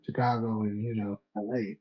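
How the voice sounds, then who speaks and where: A man co-hosting a casual TV show discussion, relaxed and quick to laugh, reading out viewer comments. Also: on the low side, muffled recording. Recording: faint music behind.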